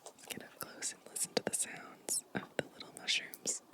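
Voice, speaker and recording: A woman whispering gently and softly in an ASMR show-and-tell style, breathy and unhurried. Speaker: ASMR video creator.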